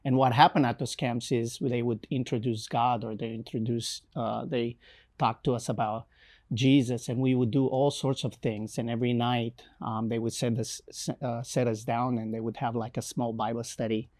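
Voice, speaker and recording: Man, Romanian accent, young adult, mid-pitched, halting, fillers and restarts. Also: little pitch movement, a little mellow. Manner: hesitant, many fillers and restarts. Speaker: missionary guest. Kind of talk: interview, personal testimony.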